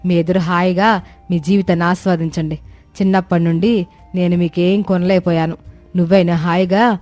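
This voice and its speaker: A woman's voice: fairly low pitch, fairly even intonation, normal speed, darkish tone, faint music behind, lightly acted. A woman voicing a self-sacrificing middle-aged village mother in a cartoon story, with motherly, rustic colloquial speech. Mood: gently reproving.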